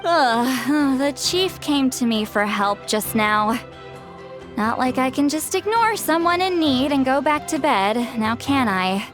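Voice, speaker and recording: A proud, grumpy heroine from a video game, with a high youthful voice. She grumbles and huffs dramatically over faint music. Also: animated, slow. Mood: huffy, indignant. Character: youthful-sounding grumpy, proud woman who calls herself granny. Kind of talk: video game character acting.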